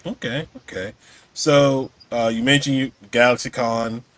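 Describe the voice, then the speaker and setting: A man with a medium-pitched voice hosts a podcast. He speaks in a casual, conversational way as he interviews a guest. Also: fairly even intonation, measured pace.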